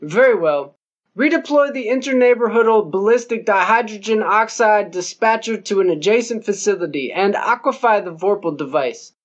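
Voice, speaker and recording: A man's voice: sits high, animated, moderate pace, highs cut off. A man voicing a pompous, scheming male cult leader who speaks in grand, formal wording. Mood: grandiose.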